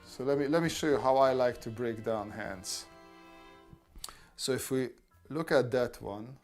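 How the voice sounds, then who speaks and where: A man's voice: mid-range voice, measured pace, faint music behind. A man hosting a casual art livestream, chatting with viewers and talking them through drawing steps. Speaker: artist streamer answering chat.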